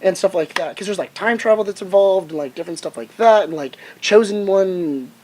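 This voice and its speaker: A man talking casually as a podcast panelist, animated, restarting phrases and acting out imagined exchanges. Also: high voice, brisk, slight background noise.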